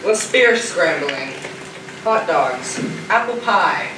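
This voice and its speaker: A man's voice: high-pitched, lively intonation, slow pace, distant mic, noisy background. A man playing a homesick, playful astronaut in an amateur stage play, recorded from afar in an echoey theater. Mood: dreamy, playful. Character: homesick, playful male astronaut reciting memories. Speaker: actor in a school theater production. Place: theater stage, picked up from a distance.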